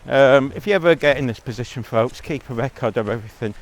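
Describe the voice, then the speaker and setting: A man's voice: mid-range voice, fast talker, poor audio. A man giving a blunt, rambling monologue as a vlogger, talking while out on a walk.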